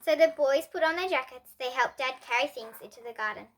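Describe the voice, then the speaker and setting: A young girl reading a children's bedtime story aloud in an expressive way. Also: high voice, brisk. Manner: expressive, with emphatic character dialogue.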